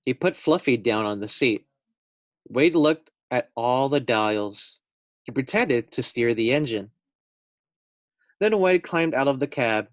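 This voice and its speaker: A man's voice: medium pitch, slow, long pauses, no room sound. Man reading aloud to young children, dry sound. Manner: expressive, addresses young listeners directly. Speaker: teacher reading a storybook aloud. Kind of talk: children's read-aloud with questions to pupils.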